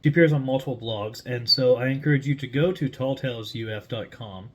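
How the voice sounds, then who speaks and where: A man's voice: mid-range voice, a little fast. A man speaking casually and informally, explaining things in a conversational monologue as a writer and host. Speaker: writer and host introducing a story character.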